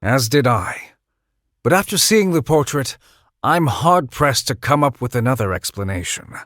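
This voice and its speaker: A man's voice: medium pitch, lively intonation, moderate pace, a bit bright, no room sound, natural voice. A man voicing a shrewd, scheming adviser in a video game, with dry, sardonic, articulate delivery. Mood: sly, calculating. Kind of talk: video game villain acting. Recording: background music under the voice.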